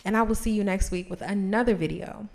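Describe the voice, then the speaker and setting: A woman's voice: medium pitch, a little fast, gravelly, darkish tone. A woman of thirty talking casually to camera as a host, in an encouraging, emphatic and conversational way. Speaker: host of a journaling video series.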